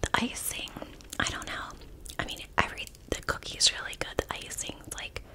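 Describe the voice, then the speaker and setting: A woman whispers softly through an ASMR tasting review. Her delivery is breathy and gentle, casual and unhurried, as she comments between bites. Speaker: ASMR food review creator.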